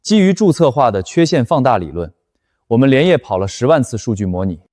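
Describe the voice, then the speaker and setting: Actor as a commanding male boss, lively intonation. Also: medium pitch, brisk, no room sound, clean studio sound, close to an everyday voice. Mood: confident, persuasive. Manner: authoritative, precise, businesslike. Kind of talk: animated web drama dialogue.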